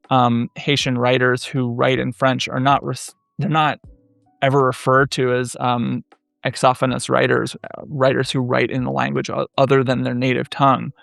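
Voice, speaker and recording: Gravelly, flat-voiced man, thoughtful pauses. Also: mid-range voice, dry recording, studio quality, faint music behind. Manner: thoughtful, many "um" pauses, measured. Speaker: podcast guest, French studies scholar. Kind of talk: academic interview conversation.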